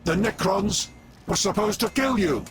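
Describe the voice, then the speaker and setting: A pedantic machine-man with a high voice for a male. He is clipped, formal and full of scorn, a scheming game character speaking over music. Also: animated, measured pace. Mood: incredulous, scornful. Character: pedantic, scornful, scheming cyborg tech-priest, coldly logical. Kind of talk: video game character acting. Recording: clear game music behind.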